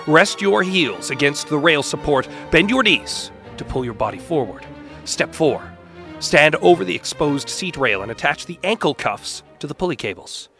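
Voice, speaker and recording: A man's voice: medium pitch, fairly bright tone. Man giving a scripted dramatic reading over music. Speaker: announcer and reader. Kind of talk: scripted narration, dramatic reading of ad and manual text. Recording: music behind the voice.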